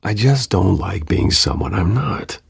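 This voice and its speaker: Smooth rich philanthropist in a video game, measured and polite, gravelly, pitch moves around a lot. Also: male voice, expressive, dry recording, studio quality, full character voice. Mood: earnest, measured. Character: reserved wealthy man, smooth charming philanthropist host.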